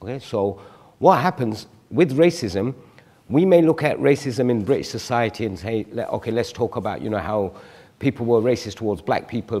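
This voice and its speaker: A man's voice: lowish voice, a little mellow, slightly rough, big-room sound. A man in his forties, a guest on a studio panel discussion, explaining his view reflectively with examples. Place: TV studio stage.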